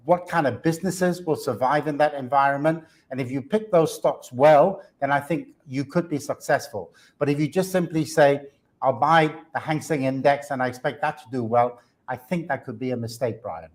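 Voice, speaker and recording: A man, a guest commentator on markets, speaking earnestly in a live business interview with frequent fillers and restarts. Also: a little high. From Hong Kong.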